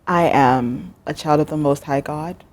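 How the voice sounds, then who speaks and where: Woman interview guest, very low voice, casual with filler words. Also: darkish tone. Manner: casual, hesitant with fillers, affirming. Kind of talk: interview conversation.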